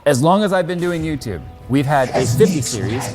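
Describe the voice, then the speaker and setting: A man's voice: fairly high pitch, expressive, brisk, over music. A man talking casually and candidly to his viewers as a tech YouTuber, a bit exasperated.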